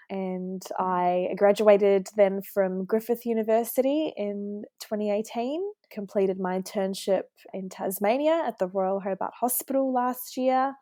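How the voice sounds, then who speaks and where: A woman's voice: medium pitch, warm tone, dry recording, clean studio sound. A woman in her twenties from New Zealand, a pharmacist guest on a podcast, telling her story in a friendly way with some filler words. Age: 20s. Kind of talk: podcast interview.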